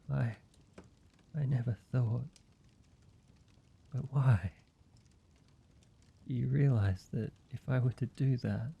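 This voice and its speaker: Guy doing a devoted vampire boyfriend, gravelly n slow, halting and earnest, intimate roleplay audio. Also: mid-range voice, heavily acted. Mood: stunned, hesitant. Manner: halting, hushed pleading, soft reassurance with pauses. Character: tender, devoted male vampire lover, gentle and earnest. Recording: dull sound, faint background noise.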